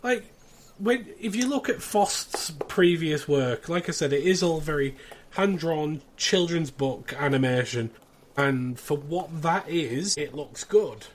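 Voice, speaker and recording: A man's voice: fairly high pitch, lively intonation, slow pace. A man hosting a podcast in casual, conversational discussion, reviewing as he goes. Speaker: podcast host.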